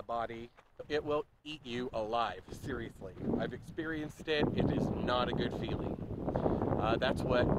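A man in his thirties giving casual, rambling advice while walking on a park path, filming himself at arm's length. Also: mid-range voice. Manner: relaxed, rambling, with fillers and restarts. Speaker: vlogger. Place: outdoors on a park greenway, walking. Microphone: handheld camera at arm's length. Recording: outdoor camera audio with clear ambient noise.

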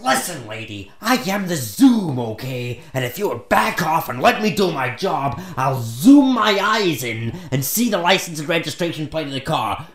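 Exasperated, touchy superhero played by a man, animated delivery. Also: sits high. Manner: ranting, indignant, hesitant when calm. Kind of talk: comedy sketch storytelling. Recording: echoey room.

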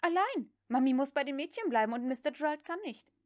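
A headstrong young lady of the old South, acted by a woman narrator, speaks high and curt. She snaps out orders in a harsh, commanding manner, as in a novel read aloud. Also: animated, fairly quick. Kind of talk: audiobook character dialogue.

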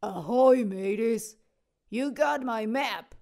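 A woman's voice: fairly high pitch, slow, darkish tone. A woman voicing a hearty male toad pirate buddy in a children's storybook.

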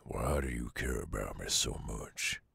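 Very deep gravelly old Southern werewolf. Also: male voice, expressive, sharp tone, heavily acted. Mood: tender, questioning. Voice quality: very gravelly, husky growl. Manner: halting, brooding drawl with long pauses. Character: gruff weary three-hundred-year-old male werewolf, guarded yet tender. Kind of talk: slow intimate ASMR audio roleplay.

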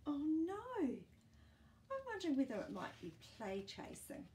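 A woman's voice: high voice, huge pitch swings, takes it slowly, mellow, a little hiss or hum. A woman in her sixties with a New Zealand accent reads a picture book aloud to young children, expressively, with chatty asides and questions. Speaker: children's storytime host. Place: small book-filled room indoors.

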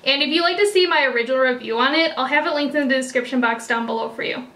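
A young woman with a high, bright voice talks through a makeup tutorial. She is a little raspy after hiccups and chatty, with casual fillers everywhere, recorded indoors close to the camera. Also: quick pace. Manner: chatty, many "like" and "kinda" fillers. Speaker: beauty vlogger. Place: indoors before a plain backdrop.

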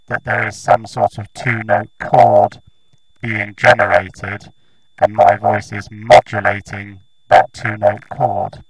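A British man giving a casual, hesitant demonstration through a robotic vocoder that turns his voice into buzzing tones. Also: on the low side. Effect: robotic vocoder, voice modulating buzzing tones and noise. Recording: noisy, humming vocoder output.

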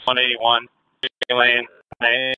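Sharp, tense policeman over a radio. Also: mid-range voice, completely flat delivery, slow, clear, resonant tone, no room sound. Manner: terse, clipped reports. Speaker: state patrol officer. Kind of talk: police radio reports during a pursuit. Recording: noisy police scanner radio audio.

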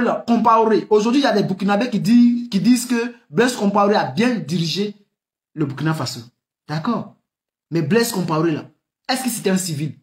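A man in his thirties with a West African French accent, giving impassioned political commentary to camera, full of rhetorical questions and laughs. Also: high voice. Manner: animated, rhetorical questions, frequent laughs, gestures with hands. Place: small room indoors. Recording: echoey room.

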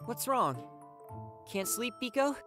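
A woman's voice: on the high side, big pitch swings, slow pace, music in the background. A woman voicing a brash young zombie boy in an anime-style video game, blunt and cocky. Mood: protective.

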